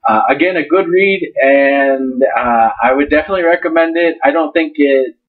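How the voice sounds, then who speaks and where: A man giving a casual, halting book review as the presenter. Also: fairly high pitch. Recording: dull lo-fi recording.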